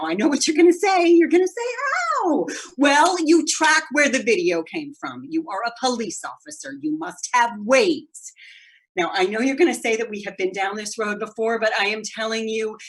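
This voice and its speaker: A woman playing a frantic, entitled wealthy mother in a play performed as a video call, imperious, agitated and halting. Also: high-pitched. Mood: pleading insistence. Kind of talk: one-act play monologue on a video call.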